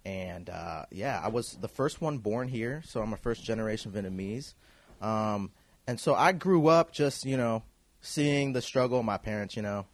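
A man in his thirties from Kansas City, a guest telling his story in a relaxed, rambling way, full of fillers and restarts. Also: on the low side, normal speed, no room sound. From Kansas City, USA. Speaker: guest, clothing designer. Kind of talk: casual podcast interview.

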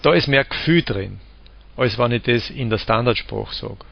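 A man with an Austrian accent from the Vienna area, calmly explaining dialects and giving spoken examples. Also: medium pitch, moderate pace, vocal fry, no echo. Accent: Austrian German, Lower Austria/Vienna. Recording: dull lo-fi recording.

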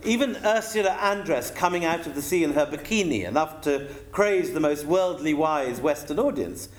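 British man, higher-pitched voice, wry and amused, theatrical humorous storytelling, roomy echo. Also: animated, normal speed. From United Kingdom. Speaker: author reading a travel account. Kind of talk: humorous storytelling reading to an audience. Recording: echoey room with noticeable background noise.

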